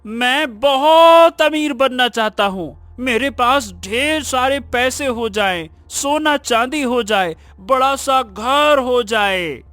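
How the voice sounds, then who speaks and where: A man voicing an excitable, stubborn poor village schoolboy in a Hindi cartoon story, exclaiming and repeating words emphatically. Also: very high-pitched, slow pace, few pauses, no echo, full character voice. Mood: greedy, overjoyed.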